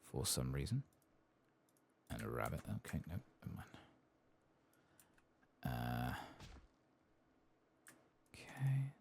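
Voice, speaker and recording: Very deep, soft-spoken man, slow and gravelly. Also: huge pitch swings, a little hiss or hum. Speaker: ASMR gaming streamer. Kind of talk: soft-spoken ASMR game playthrough commentary.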